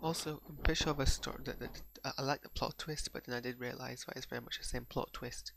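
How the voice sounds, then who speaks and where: A man's voice: mid-range voice, fairly even intonation, fast talker, creaky, sharp tone, slight background noise. A young man whose accent mixes Bradford and Pakistani speech, giving a hesitant, unscripted reaction review and often repeating words as he gathers his thoughts. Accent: mixed Bradford and Pakistani. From England. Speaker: video reviewer.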